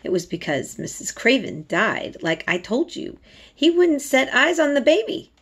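A woman voicing an anxious, excitable young Yorkshire housemaid from a storybook, exclaiming in dialect. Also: high voice, moderate pace, breathy edge, voice pushed up, full character voice. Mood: gossiping. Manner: agitated dialect speech, exclaiming.

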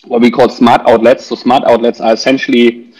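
A man in his thirties takes part in a business panel by video call. His voice sits at a middle pitch, and he explains in short, hesitant bursts. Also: little pitch movement, a little fast, darkish tone, breathy edge, rough recording. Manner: hesitant, broken into short phrases. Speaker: startup executive, panelist.

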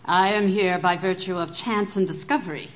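A woman's voice: lowish voice, steady tone, moderate pace, slight background noise, natural voice. A woman playing an earnest, wary witness to horrors, speaking in formal, carefully worded language in a Gothic audio drama. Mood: wary, probing. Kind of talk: dramatic Gothic audio story narration and dialogue.

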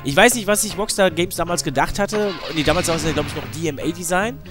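A man's voice: high-pitched, fast. A man with a slight cold giving rambling, informal, slangy let's play commentary. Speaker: let's player. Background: video game music.

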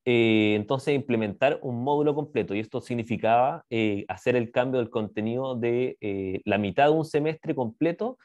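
Male lecturer, Chilean Spanish accent, middle-aged, medium pitch, hesitant explanatory delivery over a video call. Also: moderate pace, few pauses, darkish tone, highs cut off. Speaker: university dentistry lecturer presenting a project. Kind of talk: explanatory slide presentation. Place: indoor room, on a video call. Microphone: video call microphone.

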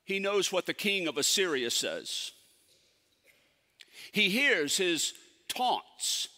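A man performing a theatrical monologue as a solemn, commanding ancient prophet, speaking deliberately with dramatic pauses. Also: high-pitched, very animated, bright, forceful tone, exaggerated voice. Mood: fervent, challenging. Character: prophet Isaiah, solemn, commanding, counseling a fearful king. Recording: echoey hall with long reverb.

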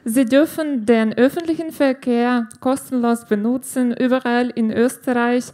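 A woman in her thirties from Ukraine, with a Ukrainian accent, doing a stand-up set on a club stage into a handheld mic, halting and restarting as she searches for words. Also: fairly high pitch, fairly even intonation, warm tone. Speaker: stand-up comedian. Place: comedy club stage with live audience.

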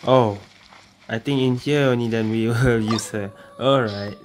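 A man's voice: medium pitch, fairly even intonation, unhurried, a little hiss or hum. A man giving casual gameplay commentary, muttering slangy, offhand reactions to himself. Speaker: video game streamer. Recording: game music behind the voice.

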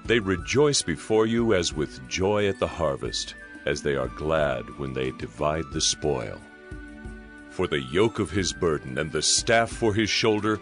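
A man narrating scripture in a dramatized, scripted reading, with solemn, measured declamation. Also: deep voice. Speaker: Bible narrator. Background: soft music.

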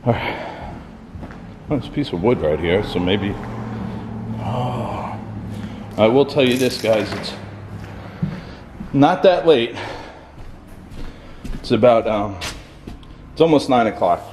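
A man vlogging as he explores a dark, abandoned building, talking casually to his viewers and calling out into the empty rooms. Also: animated, takes it slowly, pauses often, noisy background. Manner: talks low to viewers, calls out into the dark. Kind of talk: casual exploring narration to camera.

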